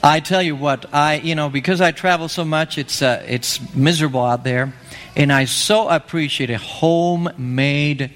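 A man's voice: a little high, fairly bright tone, a little hiss or hum. A man from Argentina preaching an evangelistic sermon, emphatic and pleading, repeating his key phrases. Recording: long reverb of a hall.

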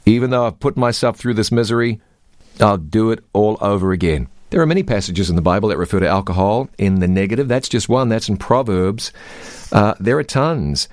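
A man's voice: fairly low pitch, lively intonation, fairly quick, no echo, studio quality. A man hosting a radio Bible question show, speaking in an earnest, emphatic preaching manner.

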